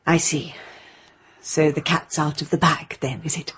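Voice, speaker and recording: Nice polite english vicars wife, kinda breathy, bit muffled, radio drama, genteel n apologetic. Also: female voice, low-pitched, level tone, measured pace, fairly bright tone, exaggerated voice. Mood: troubled, confiding.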